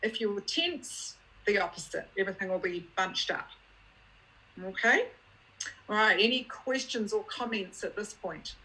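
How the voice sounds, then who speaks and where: A woman's voice: mid-range voice, expressive, unhurried, bright, forceful tone, rough recording. A woman with a New Zealand accent, originally from Scotland, giving an educational talk as a clinician, plain-spoken and checking that listeners follow. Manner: explanatory, plain-spoken, uses props and checks understanding. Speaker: guest speaker, child abuse unit clinician.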